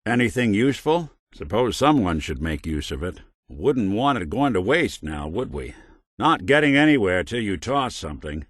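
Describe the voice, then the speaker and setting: A man's voice: lowish voice, very animated, moderate pace, dry recording, clean studio sound. A man voicing a world-weary, wry but kindly middle-aged android detective in a video game, with hard-boiled old-time noir talk. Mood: casual, businesslike.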